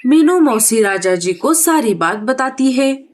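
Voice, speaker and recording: Woman, children's story narrator, fairly high pitch, slow deliberate pace, scripted delivery. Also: expressive, a little hiss or hum.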